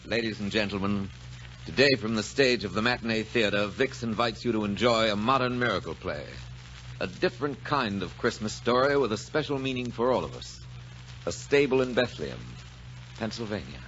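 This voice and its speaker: A man's voice: lowish voice, animated, a little fast. A man introducing and narrating a radio drama in scripted 1940s style. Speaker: narrator and host. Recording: muffled 1940s radio broadcast recording. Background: music.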